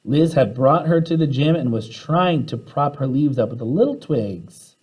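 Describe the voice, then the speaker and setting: A man reading a children's storybook aloud in an animated way, acting out the dialogue as he goes. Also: medium pitch, moderate pace, slightly rough, poor audio. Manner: animated, acts out the characters' dialogue.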